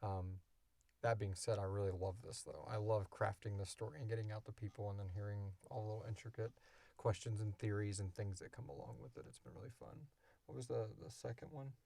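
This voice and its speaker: A man with a fairly low voice, recorded dry and close. He talks like a podcast host in a casual chat, rambling enthusiastically and joking as he goes. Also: steady tone, a little fast, creaky, mellow, no room sound.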